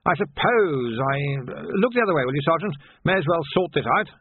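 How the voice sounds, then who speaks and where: A man's voice: on the high side, sing-song, brisk, slight background noise, voice pushed up. A man voicing a flustered, proud but doubting police captain of nearly seventy, hesitant and full of old-fashioned military bluster, in an audiobook. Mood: embarrassed, muttering. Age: 60s. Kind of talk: audiobook character voice. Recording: narrow, phone-like band.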